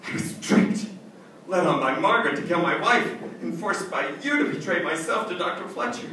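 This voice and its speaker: A man's voice: sits high, expressive, moderate pace, pushed and bright. A man playing a desperate, doomed judge in an old-time radio melodrama, with theatrical delivery. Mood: shocked, anguished. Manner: theatrical, pleading. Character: desperate, doomed male judge who murdered his wife. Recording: echoey, distant stage recording, faint noise.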